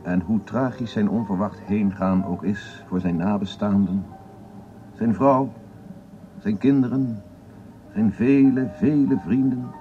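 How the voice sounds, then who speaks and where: An actor in a radio drama playing a grave male presenter reading a measured, scripted eulogy. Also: fairly low pitch. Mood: solemn. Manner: solemn, measured. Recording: dull radio broadcast sound over music.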